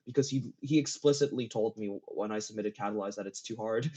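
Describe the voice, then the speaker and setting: A man in a casual gaming chat, speaking haltingly as a guest, repeating phrases and often giggly. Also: lowish voice, warm tone. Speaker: interview guest, game map builder. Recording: dull lo-fi voice chat.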